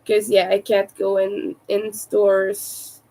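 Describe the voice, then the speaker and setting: A woman with a raspy, soft-spoken voice, sick and low on energy, chatting casually and hesitantly on a livestream. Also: monotone, takes it slowly, rough recording. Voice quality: raspy from vocal cord damage. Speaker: livestream host, crafter.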